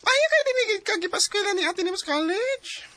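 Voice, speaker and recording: An actress playing an excitable, gossipy but concerned young woman friend in a Cebuano Filipino radio drama. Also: very high-pitched, fairly quick, heavily acted. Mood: teasing, urging.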